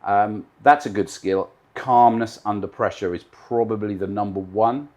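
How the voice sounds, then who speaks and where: A man's voice: fairly low pitch, steady tone, normal speed, darkish tone. A man in his fifties, raised in Britain, a live TV director answering interview questions confidently, often stacking points in emphatic lists.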